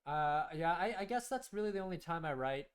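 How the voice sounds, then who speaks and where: A man's voice: on the high side, animated, a little fast, smooth. A young man whose first language was Korean, raised in the United States, chatting casually with viewers while streaming a game, rambling and restarting his sentences. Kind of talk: casual gameplay stream chat, talking to chat.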